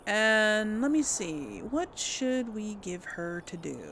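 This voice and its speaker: A woman's voice: mid-range voice, lively intonation, slow, a bit bright, a little hiss or hum. A woman giving casual let's play commentary, chatting and thinking aloud as she goes. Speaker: gaming channel host.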